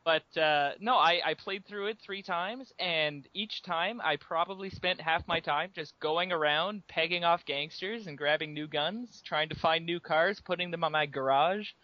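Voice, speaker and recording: A man's voice: fairly high pitch, a little slow, hardly any pauses, no echo. A Canadian man co-hosting a podcast, chatting casually and upbeat about video games, with a young-sounding voice. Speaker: podcast co-host. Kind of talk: casual gaming chat. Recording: dull lo-fi podcast audio.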